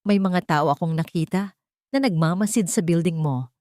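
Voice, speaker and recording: A woman's voice: fairly low pitch, moderate pace, dark tone, dry recording, studio quality, natural voice. A woman voicing a protective male ally in an audiobook, urgent and warning.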